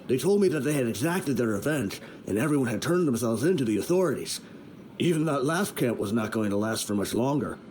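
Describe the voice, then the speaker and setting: A man's voice: mid-range voice, a little mellow, slight background noise, natural voice. A man playing a weary, honourable mercenary underling in a video game, matter-of-fact in manner. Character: plain-spoken, weary but honourable male mercenary underling.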